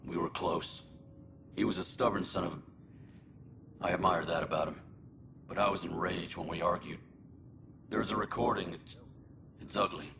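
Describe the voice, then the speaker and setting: An actor playing a remorseful, defeated colonist convicted of murder in a video game, with a weary, halting delivery. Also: male voice, deep voice, steady tone, gravelly, background noise. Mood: resigned, remorseful. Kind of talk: video game cutscene acting. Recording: loud music behind the voice.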